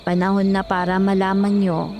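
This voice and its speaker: A solemn old ghost grandmother, played by a woman in a horror audio drama. She speaks gravely and deliberately at a middling pitch, over music. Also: little pitch movement, close to an everyday voice. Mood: grave, urgent.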